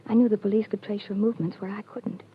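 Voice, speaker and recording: An actress playing a desperate young woman begging for help in a 1940s radio drama, pleading and often hushed. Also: medium pitch, steady tone, fast, breathy edge. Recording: lo-fi old radio broadcast, faint hiss.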